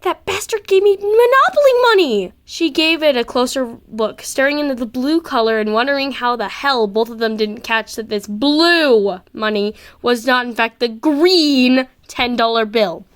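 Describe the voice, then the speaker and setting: A woman's voice: a little high, measured pace, hardly any pauses, smooth. A woman narrating a story expressively as an amateur reader, sometimes stumbling and rereading words.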